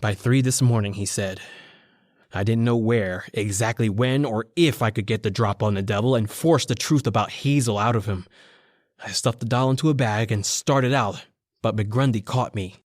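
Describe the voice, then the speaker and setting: An actor playing a determined, hard-boiled newspaper reporter, earnest and clipped, in an old-time radio noir style. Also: male voice, lowish voice, moderate pace, no room sound, studio quality, lightly acted. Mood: tense narration.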